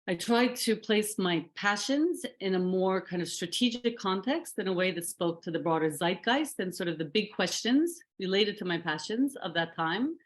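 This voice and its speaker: A woman's voice: medium pitch, expressive, normal speed, hardly any pauses. A middle-aged woman researcher reflecting on her academic path in an interview, thoughtful, with many fillers and restarts.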